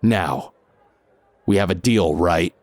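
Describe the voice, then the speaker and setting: A man acts out a masked mercenary from an audio drama with a deep voice and dry sound. He is cold and composed, delivering his menace in measured phrases. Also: a little mellow, no room sound. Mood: businesslike.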